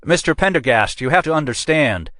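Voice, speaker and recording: A man voicing an anxious middle-aged male police captain trying to smooth things over. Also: fairly high pitch, lively intonation, a little fast, fairly bright tone, no echo, clean studio sound, pitched above the performer's own voice. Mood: pleading. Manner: placating, nervous, officious.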